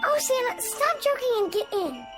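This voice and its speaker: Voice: extremely high pitch, very animated, moderate pace. A performer voicing a small, chirpy, bossy Christmas elf in a radio drama, with music behind. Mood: brisk, urging.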